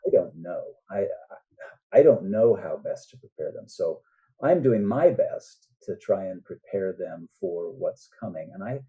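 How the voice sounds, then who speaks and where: An older man speaking earnestly and deliberately as a novelist guest in a reflective podcast interview. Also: low-pitched, lively intonation. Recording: dull, muffled lo-fi sound.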